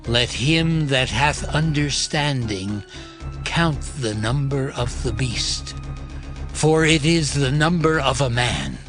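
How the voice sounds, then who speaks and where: A man narrating a dramatized scripture reading in a slow, solemn, deliberate manner. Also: mid-range voice. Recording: music bed underneath.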